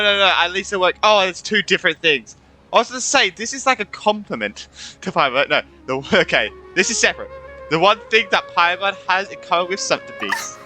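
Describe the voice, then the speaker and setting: A man doing a casual let's play, reacting and joking in slang. His voice is very bright and fairly high, and it jumps around in pitch over faint music. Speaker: gamer, let's play host. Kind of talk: casual gameplay commentary.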